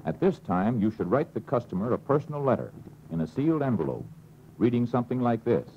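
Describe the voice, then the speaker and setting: An American man narrating an instructional film in a measured, instructive way, on a dull old film soundtrack with faint hiss. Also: mid-range voice, big pitch swings.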